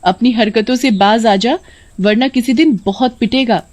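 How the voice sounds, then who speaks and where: A woman's voice: on the high side, moderate pace, a little mellow, pitched above the performer's own voice, natural voice. A woman voicing a bold young village girl in a moral story, scolding and threatening indignantly.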